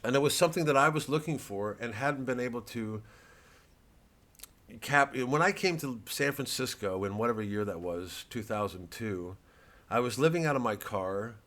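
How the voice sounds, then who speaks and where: A man's voice: medium pitch, expressive, normal speed, fairly bright tone. A middle-aged male comedian with a hesitant, sardonic, profane way of talking, rambling through a promotional monologue. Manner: hesitant, sardonic and profane, full of filler and restarts.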